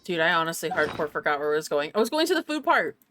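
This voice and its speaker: Bright, low-pitched woman streaming a game. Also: very animated, quick pace. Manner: slangy, muttering repeated words to herself. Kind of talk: casual gameplay commentary.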